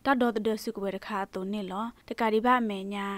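A woman's voice: medium pitch, fairly even intonation, fast, a bit bright, no echo. A woman presenting scripted news as a radio newsreader.

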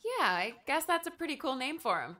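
Kind young woman, played by a man, bright, lively pitch, gentle manner, audiobook character. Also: brisk, full character voice. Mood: friendly.

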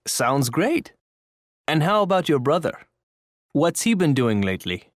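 A man reading scripted language-course lines with clear enunciation. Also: on the high side, very animated, a little slow, no echo, studio quality. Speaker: announcer and dialogue actor.

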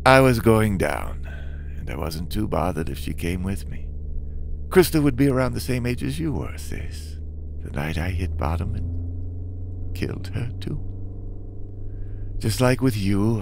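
A man's voice: low-pitched, sing-song, unhurried, gravelly, long pauses, slight background noise, full character voice. A man playing a world-weary, fatalistic father and damned driver, narrating a comic drama in a brooding, wry way. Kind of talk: scripted first-person dramatic narration.

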